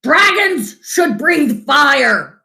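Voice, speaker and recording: A woman voicing a proud, demanding dragon father for a storybook, with emphatic, drawn-out declarations. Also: high voice, sing-song, gravelly, sharp tone, voice pushed up, full character voice. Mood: exasperated. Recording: roomy home echo.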